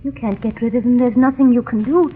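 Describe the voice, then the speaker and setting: A woman's voice: on the high side, fairly even intonation, a little fast, lightly acted. A woman playing a frightened, fragile heiress. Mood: fearful. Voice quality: fearful, breathy, pleading. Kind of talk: 1930s radio drama acting. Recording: tinny, echoey old radio recording.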